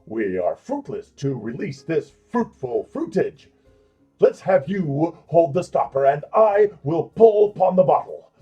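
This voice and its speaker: A man acts out a bumbling, self-important drunk in a comic fantasy audio play. His voice is fairly high and swoops widely, at an unhurried pace. He speaks in florid, mock-archaic flourishes over music. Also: big pitch swings, mellow, breathy edge, lo-fi sound, exaggerated voice. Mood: fussily determined. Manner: theatrical, florid, wordy. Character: pompous, bumbling male tavern drunk in fantasy comedy.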